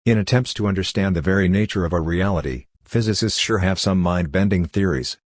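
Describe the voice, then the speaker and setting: Deep-voiced man reading aloud, very dry sound. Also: a little fast, no echo. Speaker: reader of exam practice texts. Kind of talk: scripted read-aloud of a short popular science passage.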